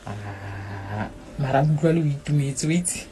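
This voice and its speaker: A supportive friend in a radio drama. Her voice is very low for a woman, and she speaks frankly and confidingly. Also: lively intonation, normal speed, mellow, a little hiss or hum. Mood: pensive. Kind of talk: Setswana radio drama acting.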